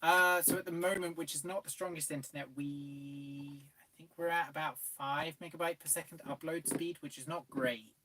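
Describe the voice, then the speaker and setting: A man's voice: fairly high pitch, fairly even intonation, moderate pace, noisy background. A man in his twenties chatting casually on a live stream and answering viewer questions. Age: 20s. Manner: easygoing, reads viewer questions. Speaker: co-host.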